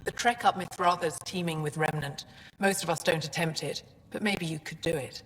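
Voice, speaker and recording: An actress playing a guarded, no-nonsense female alien scientist in a video game, speaking in a measured, frank way. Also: sits low, steady tone, fairly bright tone. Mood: cautioning. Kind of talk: video game dialogue acting. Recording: long reverb, music underneath.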